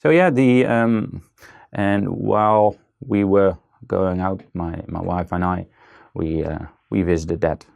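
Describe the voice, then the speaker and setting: A man with a Dutch accent talks in a very deep, gravelly voice. He pauses often on ums and starts his sentences over as he searches for words. He sounds like a guest in a casual interview. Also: darkish tone. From Netherlands. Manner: hesitant, many fillers and restarts.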